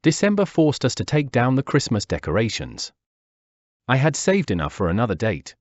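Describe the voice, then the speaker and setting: A man's voice: mid-range voice, wildly swooping pitch, moderate pace, no room sound. A man, reading a wistful first-person memoir. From Peru. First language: Spanish. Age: 40s. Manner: wistful, reflective. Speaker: narrator. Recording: dull dry lo-fi recording.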